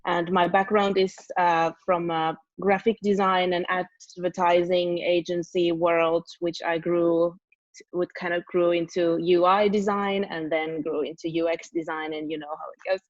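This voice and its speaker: A woman's voice: fairly low pitch, level tone, unhurried, no echo. A woman in her thirties presenting an online design workshop over a video call, casual and full of fillers. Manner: casual, filler-heavy, trailing off. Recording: dull, dry video call audio.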